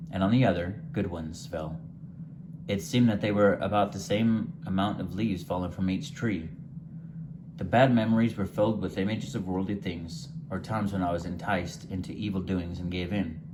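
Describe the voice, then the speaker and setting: Very deep-voiced man reading a story. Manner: unhurried, gentle storytelling read from a page with occasional stumbles. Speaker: author reading his own story. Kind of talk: first-person short story read aloud. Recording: noticeable background hiss.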